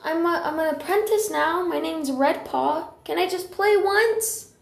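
A woman voicing an earnest, eager young apprentice cat in a childlike, pleading way, as for animated cartoon lines. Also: very high voice, a little slow, vocal fry, echoey room, full character voice. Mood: flustered, pleading. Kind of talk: character voice lines for a fan animation.

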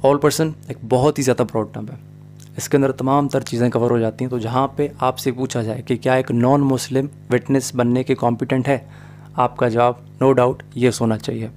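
Brief: male online law tutor, monotone delivery, plain explanatory lecture. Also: mid-range voice, normal speed, slight background noise. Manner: mixes English into explanations, steady explaining tone. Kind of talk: lecture over animated slides.

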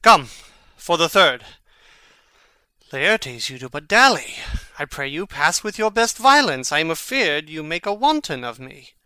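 An actor playing a bold, biting young prince with crisp theatrical diction in a Shakespeare audiobook. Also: male voice, fairly high pitch, sing-song, unhurried, pushed and bright, no echo, heavily acted. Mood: sporting, taunting. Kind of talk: dramatic Shakespeare audiobook reading.

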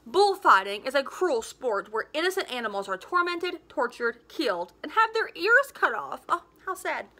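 A woman in her thirties reading a story aloud like a teacher, with emphatic, expressive delivery. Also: high voice, normal speed, few pauses. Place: living room at home, indoors.

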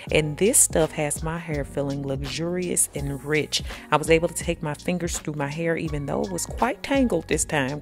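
Lady vlogger talking to y'all, low gravelly voice, chatty. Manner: chatty, addresses viewers as y'all. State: pregnant. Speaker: beauty vlogger. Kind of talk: voice-over narration of a self-care routine.

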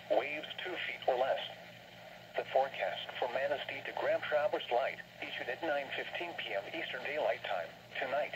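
A man's medium-pitched, synthetic voice reads an automated forecast as a weather radio announcer. The delivery is measured and flat. It comes out of a radio speaker, re-recorded with clear noise. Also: dull audio. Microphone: camera microphone picking up a radio's speaker.

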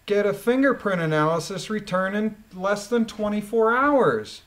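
A man reading a document aloud and adding informal, emphatic commentary with halting phrasing. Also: high voice, animated, fairly bright tone. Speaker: commentator, former police officer.

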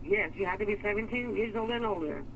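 A woman working as a store clerk, patiently and haltingly explaining things on a customer service phone call. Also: mid-range voice, gravelly. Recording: dull, noisy telephone line.

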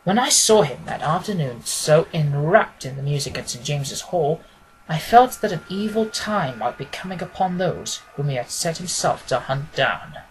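A man's voice: fairly high pitch, lively intonation, moderate pace, a little hiss or hum, rough recording. A man reading scripted literary narration as an audiobook reader.

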